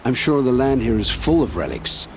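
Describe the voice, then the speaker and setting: An actor playing a guarded, weary scout who talks in a gruff, dismissive way. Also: male voice, medium pitch, big pitch swings, moderate pace. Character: guarded, evasive male scout, weary and curt. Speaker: outlander scout. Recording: game audio with ambient noise.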